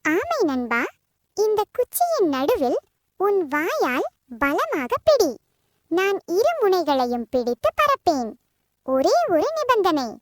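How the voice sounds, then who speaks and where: A woman's voice: extremely high pitch, no room sound, studio quality, full character voice. A woman voicing a boastful, scheming crane in a children's cartoon story, with a childlike, persuasive manner. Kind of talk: scripted children's story acting.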